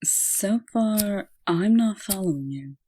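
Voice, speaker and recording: A woman playing a brisk, sardonic official in a comic dub, with a dry, pointed delivery. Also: mid-range voice, lively intonation, mellow, slight background noise. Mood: puzzled.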